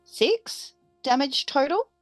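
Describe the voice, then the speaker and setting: A woman's voice: sits high, lively intonation, slow, dry recording, faint music behind. A woman playing a tabletop roleplaying game, chatting casually and thinking aloud hesitantly.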